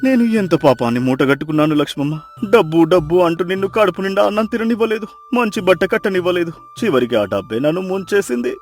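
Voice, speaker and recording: A man's voice: high voice, animated, fast, soft background music. A man playing a humbled, emotional former miser husband in a moral story, with earnest, dramatic delivery. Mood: remorseful. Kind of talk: Telugu moral story character acting.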